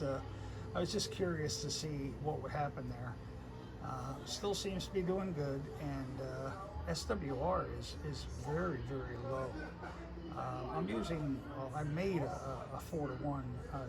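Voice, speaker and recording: A man from Connecticut talking casually as an amateur radio operator, halting often in mid-sentence as he goes. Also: medium pitch, very noisy. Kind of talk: casual ham radio chat.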